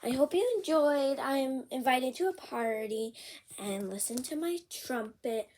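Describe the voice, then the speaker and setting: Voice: high-pitched, unhurried, darkish tone, a little hiss or hum. A storybook reader reading a picture book aloud and explaining it in asides.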